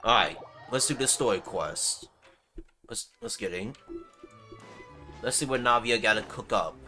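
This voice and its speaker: A man's voice: fairly low pitch, animated, unhurried, sharp tone, soft background music. A man hosting a casual gaming livestream, talking in a laid-back, slangy way. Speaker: livestream host.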